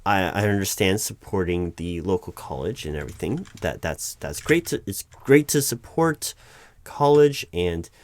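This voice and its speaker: A man playing a patient but baffled corporate recruiting manager in a one-sided interview roleplay, speaking in a halting, stammering, diplomatic way. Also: low voice, lively intonation, slight background noise. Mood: diplomatic, puzzled.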